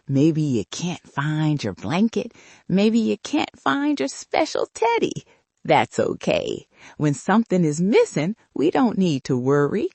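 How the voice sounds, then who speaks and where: A woman's voice: fairly low pitch, huge pitch swings, unhurried, mellow, breathy edge, no room sound. An older woman hosting bedtime stories for young children, speaking soothingly and directly to them. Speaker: host and storyteller.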